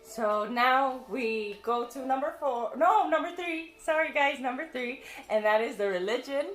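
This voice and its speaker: Woman, from Cuba, young adult, high-pitched, expressive, echoey room. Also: moderate pace. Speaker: co-host of a family channel. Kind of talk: casual chat. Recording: roomy echo, faint music.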